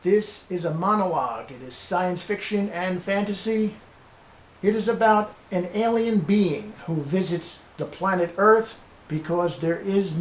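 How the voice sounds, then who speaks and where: A man presenting his own scripts as a playwright, speaking deliberately in short, separate phrases like announced titles. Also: fairly high pitch. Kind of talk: semi-scripted video presentation. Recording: roomy echo with background hiss.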